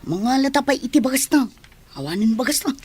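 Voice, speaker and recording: A woman acts as a young student who is in no hurry to work. Her voice is high, and she grumbles casually. The delivery is that of a radio comedy drama. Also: expressive, a little fast, darkish tone, slightly rough. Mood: carefree, grumbling. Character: young student daughter, carefree and work-shy.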